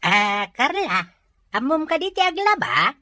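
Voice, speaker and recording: A woman's voice: high voice, very animated, unhurried, sharp tone, dry recording, clean studio sound, heavily acted. A woman playing a joking, teasing elderly father in an audio drama. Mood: playful quizzing. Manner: playful, teasing. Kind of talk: Ilocano audio drama acting.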